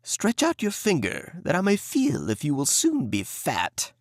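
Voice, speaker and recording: A man's voice: fairly high pitch, huge pitch swings, a little slow, no room sound, clean studio sound, pitched above the performer's own voice, full character voice. A man voicing a wicked, mocking old female witch in a storybook reading. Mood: cruel.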